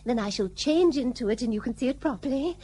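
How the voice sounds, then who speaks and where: Actress as a coy, high-voiced colonial lady. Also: expressive, quick pace, mellow, slight background noise. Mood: coquettish. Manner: genteel, wheedling, coquettish. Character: flirtatious, coy colonial British lady, falsely helpless. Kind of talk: period radio play acting.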